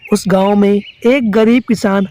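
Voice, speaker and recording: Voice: medium pitch, fairly even intonation, measured pace, warm tone. A narrator reading a scripted children's story.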